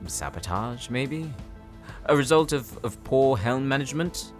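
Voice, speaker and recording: A man's voice: medium pitch, expressive, a little slow, faint music behind, natural voice. An actor playing a defiant, cocky captive outlaw in an audio drama, sarcastic and theatrical in his taunts. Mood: sly, taunting. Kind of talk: dramatic audio roleplay acting.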